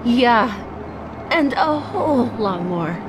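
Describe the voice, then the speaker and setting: A woman's voice: on the high side, lively intonation, takes it slowly, noisy background. A woman playing a tough, streetwise young woman who is wounded and out for revenge, in an audio drama. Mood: defiant. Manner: defiant, streetwise. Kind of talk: film-style audio drama acting.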